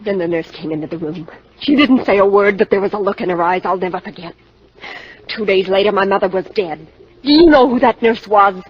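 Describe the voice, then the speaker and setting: A woman's voice: medium pitch, fairly quick, a little hiss or hum, close to an everyday voice. A woman acting an earnest, distraught young daughter in 1950s radio drama, a little hesitant, on a muffled vintage recording. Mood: haunted. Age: young adult.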